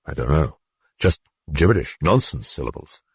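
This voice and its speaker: A man voicing a preoccupied, puzzled male neurologist who talks in a low, thoughtful way. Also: lively intonation, normal speed, creaky, husky, no room sound, exaggerated voice.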